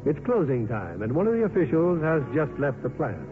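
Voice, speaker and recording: Old-time radio announcer guy, formal and polished, lively pitch, tinny narrow recording. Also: a little fast, over music. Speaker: host and narrator. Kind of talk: 1950s radio announcing, scripted narration.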